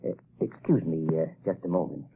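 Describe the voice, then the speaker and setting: An actor playing a nervous, evasive male professor, stammering and husky in a 1930s radio drama. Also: a bass voice, lively intonation, fairly quick, a little hiss or hum, exaggerated voice. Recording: tinny 1930s radio broadcast.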